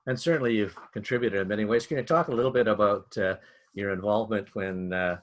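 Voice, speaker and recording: A man's voice: on the low side, quick pace. A man hosting a community interview, asking questions and affirming his guest. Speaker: host.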